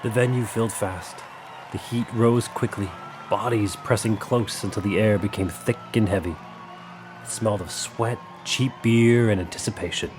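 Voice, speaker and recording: A man's voice: fairly low pitch, fairly even intonation, moderate pace. A man narrating a scripted dramatic story as its narrator. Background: music under the voice.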